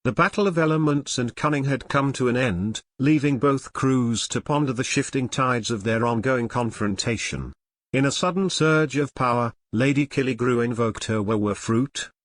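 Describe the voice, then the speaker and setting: A man's voice: medium pitch, dry recording, studio quality. A man narrating an audio drama in a scripted, dramatic storytelling style.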